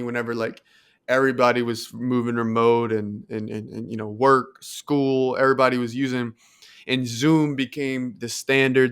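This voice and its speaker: A man's voice: medium pitch, fairly bright tone, clean tone. A man co-hosting a podcast in casual, reflective conversation. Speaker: podcast co-host. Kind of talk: casual chat.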